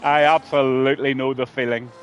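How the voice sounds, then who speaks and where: Man, Scouse accent, live race commentator, mid-pitched voice, faint engine noise underneath. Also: level tone, fairly quick, highs cut off. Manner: chatty, greets viewers by name. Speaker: sim racing commentator.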